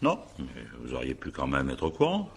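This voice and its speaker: An actor playing a shrewd, patient examining magistrate in a French radio crime drama, probing with hesitations and polite irony. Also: male voice, rumbling low, wildly swooping pitch, brisk, husky, exaggerated voice. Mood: dryly ironic.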